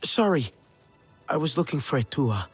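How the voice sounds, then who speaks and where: A man's voice: fairly high pitch, very animated, moderate pace, music in the background. A man playing a young, earnest, anxious Na'vi hero, plain-spoken and a little hesitant, in a game cutscene. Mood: anxious, gentle. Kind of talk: dramatic video game cutscene acting.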